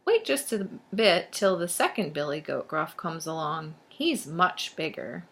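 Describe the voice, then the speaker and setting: A woman voicing a timid little male billy goat in a small, high voice for a children's storybook. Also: very animated, unhurried, a bit bright, full character voice. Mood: timid pleading. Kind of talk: children's storybook read aloud.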